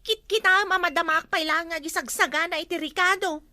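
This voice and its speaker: A woman's voice: extremely high pitch, fairly quick, sharp tone, dry recording, full character voice. An actress playing an overworked young woman who does all the chores and keeps complaining. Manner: complaining, put-upon.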